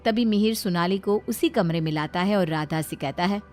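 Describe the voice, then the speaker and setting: A woman's voice: on the low side, normal speed, a little mellow, soft background music. A woman narrating a scripted domestic tale in a storybook style.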